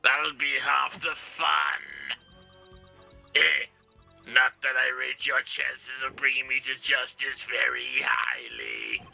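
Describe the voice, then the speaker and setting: A man acts out a smug video game kidnapper with a heavily creaky, sharp-edged voice. The delivery is slow and drawling, savouring every mocking tease. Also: medium pitch, expressive, faint music behind, exaggerated voice. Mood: smug teasing. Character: taunting, smug kidnapper toying with pursuers on phone. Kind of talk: live video game voice-over.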